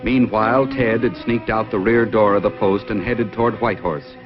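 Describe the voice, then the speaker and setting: A man's voice: fairly low pitch, fairly even intonation, normal speed, music in the background, natural voice. A man narrating an old-time radio adventure in scripted, storytelling style. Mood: dramatic. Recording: muffled vintage radio recording.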